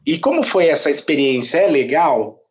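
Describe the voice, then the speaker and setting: Guy hosting a food chat, bouncy higher voice, lots of swing in his tone. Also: lively intonation, moderate pace, slight background noise. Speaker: interviewer and food critic. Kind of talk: hosting an interview.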